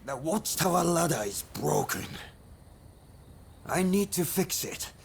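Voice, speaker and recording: A practical, polite samurai-era helper in a video game. His voice is fairly high and moves up and down a lot. Faint ambience sits behind it. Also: very animated, measured pace, gravelly, slight background noise, exaggerated voice. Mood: matter-of-fact. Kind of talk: video game cutscene acting.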